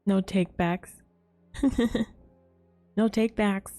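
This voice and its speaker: A woman's voice: mid-range voice, takes it slowly, dark tone. A woman commenting casually on a game during a live stream, with music behind her.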